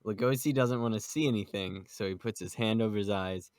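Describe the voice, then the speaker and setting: A man's voice: on the low side, fairly quick, a little mellow, no room sound. A man hosting a podcast, chatting casually about manga with a joking, teasing manner. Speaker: podcast host.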